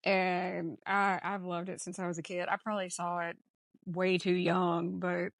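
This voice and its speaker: A woman's voice: fairly low pitch, flat delivery, measured pace, no room sound. Woman podcaster with a close, dry sound. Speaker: podcast host. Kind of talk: casual film discussion podcast.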